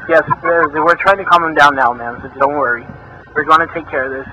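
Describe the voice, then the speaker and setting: A man's voice: a little high, fairly quick, bright, forceful tone. A man making an improvised prank call in a mock-official, persistent, argumentative manner. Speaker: prank caller. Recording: noisy lo-fi recorded phone call.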